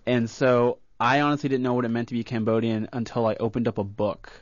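A man's voice: medium pitch, flat delivery, quick pace, no echo. A young man from rural Florida, a comedian guest, talking earnestly about his past in a radio studio. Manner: earnest, reflective, with fillers. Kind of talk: casual interview chat. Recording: dull lo-fi studio sound.

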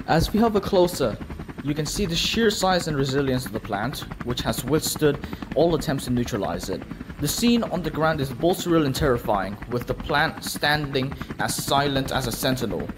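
A man's voice: medium pitch, natural voice. A man playing a solemn, dramatic field news reporter giving a scripted live report from a helicopter. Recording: noisy helicopter and vehicle din.

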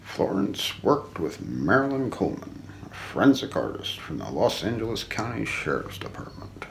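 A man in his forties doing an ASMR role play, narrating an unsolved mystery script in a hushed, deliberate way with trailing phrase ends. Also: on the low side, big pitch swings, vocal fry, poor audio. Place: small indoor room. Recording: faint background noise.

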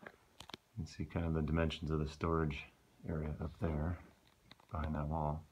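A man, a real estate agent, giving a relaxed, offhand walkthrough of a condo while filming on a handheld phone. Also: rumbling low, moderate pace, highs cut off, a little hiss or hum. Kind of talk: casual property walkthrough. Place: condo interior, walking through rooms.

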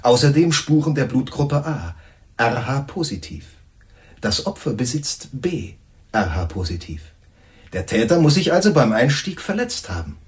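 An actor playing a police sergeant in a radio crime play, dry, clipped and factual. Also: male voice, medium pitch, very animated, normal speed, close to an everyday voice. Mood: matter-of-fact. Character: matter-of-fact male police sergeant reporting findings. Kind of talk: German radio crime drama acting.

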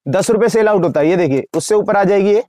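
Fast-talking young man pitching wholesale prices. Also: dark tone, dry recording. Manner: rapid price-listing patter, repeating rates per dozen and per piece. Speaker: wholesale shopkeeper. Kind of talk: sales pitch to camera. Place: crowded indoor cosmetics shop.

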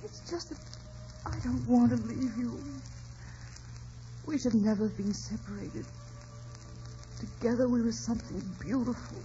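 A tender, sorrowful woman played by an actress speaks very slowly in a fairly high voice, with earnest radio-play theatricality. Music lies under the muffled old broadcast. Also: dark tone, close to an everyday voice. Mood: yearning. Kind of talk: 1950s radio drama acting.

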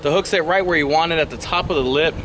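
A man's voice: a little high, quick pace. A young man talking casually to camera while fishing by a river, explaining his baits with plenty of fillers, on a lapel mic. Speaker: fishing vlogger. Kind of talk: casual outdoor fishing vlog. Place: outdoors on a riverbank. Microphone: clip-on lapel mic. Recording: outdoor noise behind.

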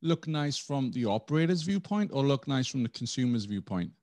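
A man hosting a casual interview over a video call from a home office, asking quick friendly questions. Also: mid-range voice, expressive, darkish tone, no room sound. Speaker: podcast host.

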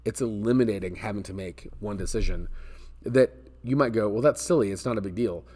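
Male, American, 40s, slightly low voice; conversational coach delivering casual advice with emphatic repetition. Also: fairly quick, darkish tone. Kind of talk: casual advice talk to camera. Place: indoor office space with metal ceiling and glass garage door.

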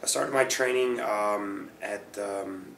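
A man in his thirties, raised in South Florida, answering interview questions in a relaxed way with lots of uh and you know. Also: on the low side, takes it slowly, creaky. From Brazil, raised in South Florida. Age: 30s. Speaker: jiu-jitsu fighter and instructor. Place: small plain room indoors, seated in office chair. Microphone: camera at a distance. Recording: echoey room, distant mic, background hum.

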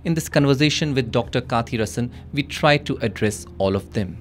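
A man's voice: medium pitch, animated, a little fast, a little hiss or hum. A man in his thirties hosting a podcast, reading a scripted intro and asking interview questions in a studio. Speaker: podcast host. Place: studio with dark wall. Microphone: studio mic with headphones.

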